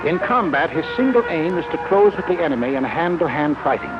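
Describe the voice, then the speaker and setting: American guy doing 1940s war film narration, kinda high pitched, serious and measured, loud music behind. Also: sing-song, highs cut off. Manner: grave, authoritative, measured delivery. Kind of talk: 1940s wartime propaganda film narration, scripted. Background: loud film score.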